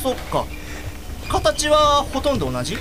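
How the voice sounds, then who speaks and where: An actress playing a blind art lover, casual, frank and gently exasperated, in an audio drama. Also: female voice, high-pitched, sing-song, a little slow, noisy background. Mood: pondering. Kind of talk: Japanese audio drama acting.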